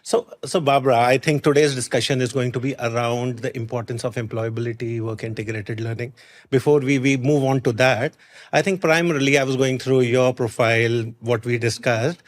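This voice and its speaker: A man's voice: mid-range voice, steady tone, fairly quick. A man from India hosting a career podcast, asking long conversational questions with frequent fillers and restarts. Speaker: podcast host. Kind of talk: podcast interview.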